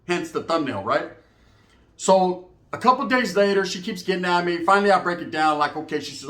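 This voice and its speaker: A man's voice: sits high, echoey room. An American man telling a story in a casual, slangy, comedic way, acting out both sides of conversations. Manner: animated raconteur, acts out both sides of conversations.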